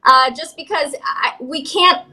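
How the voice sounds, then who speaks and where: A woman's voice: high-pitched, unhurried, pushed and bright. A woman co-hosting a sports talk show, giving a thoughtful opinion with some hedging. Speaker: co-host. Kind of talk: casual sports commentary.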